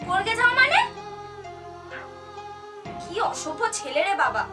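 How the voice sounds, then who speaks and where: A woman's voice: very high voice, huge pitch swings, slow. A young woman with a West Bengal Bengali accent, talking in a dramatic, insistent, accusing way as on a prank phone call. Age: young adult. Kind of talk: animated prank phone calling, theatrical scolding. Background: background music.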